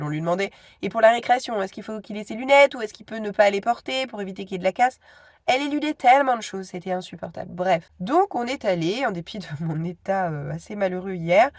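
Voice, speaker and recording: A woman's voice: mid-range voice, a little mellow, no room sound, clean studio sound. A woman in her thirties with a cold, chatting casually to her phone camera at home, hesitant and full of asides. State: stuffy with a cold. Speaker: vlogger.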